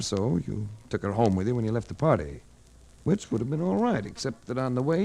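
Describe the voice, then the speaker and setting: An actor playing a cool, unsentimental private investigator in a 1940s radio drama, with a clipped, sardonic, accusing way of talking. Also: male voice, mid-range voice, darkish tone. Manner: clipped, sardonic, hard-boiled. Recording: noisy vintage 1940s radio recording.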